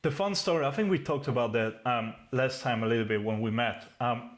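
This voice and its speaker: A man, co-hosting a podcast, chatting in a relaxed way with hesitations and repeated words. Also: mid-range voice, brisk. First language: Swiss German. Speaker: podcast co-host. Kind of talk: casual podcast chat.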